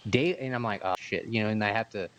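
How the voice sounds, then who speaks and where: Guy hosting a laid-back chat, voice a bit low, loose and halting. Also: a bit bright. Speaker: podcast host. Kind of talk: casual, informal chat.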